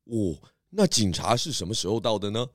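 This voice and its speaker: A man playing a calm, sensible pig detective who questions and advises steadily in a children's audio story. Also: lowish voice, fairly quick, no echo, studio quality. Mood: calm, inquiring.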